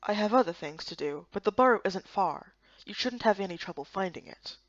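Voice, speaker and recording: A curt, reserved male guard done by a woman for a fantasy game. The delivery is lowered, deadpan and disapproving, with a dry close recording. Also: fairly quick, dry recording, lo-fi sound, natural voice. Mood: dismissive. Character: low-voiced, gruff, curt male guard, stern and reserved.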